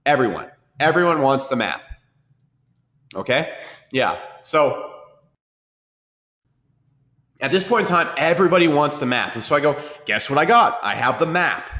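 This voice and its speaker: A man's voice: on the high side, expressive, bright, forceful tone. A man teaching a marketing webinar, sniffling from a cold and checking in with "okay?" again and again. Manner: fast, repetitive, keeps asking "okay?". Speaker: marketing trainer.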